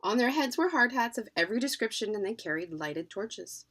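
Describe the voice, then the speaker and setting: An adult woman narrating a children's storybook in an expressive reading style. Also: on the high side, brisk. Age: adult, parent. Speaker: children's book reader.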